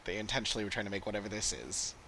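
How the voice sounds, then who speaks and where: A man's voice: lowish voice, rapid-fire, sharp tone, slight background noise. A man chatting casually as he plays through a game on a livestream. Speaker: game streamer.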